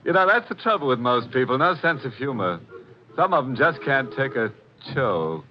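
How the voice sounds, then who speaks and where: An actor playing a sly, wisecracking horror host with mock-sinister delivery and drawn-out punchlines in 1940s radio style. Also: male voice, mid-range voice, very animated, noisy background, natural voice. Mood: gleefully morbid. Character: ghoulish, wisecracking horror host, gleefully morbid. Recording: muffled 1940s radio recording with music.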